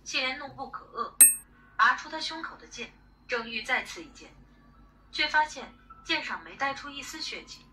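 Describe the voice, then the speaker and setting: A woman's voice: high voice, expressive, normal speed. A woman reading a story aloud in a dramatic audiobook style. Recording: distant playback with faint noise.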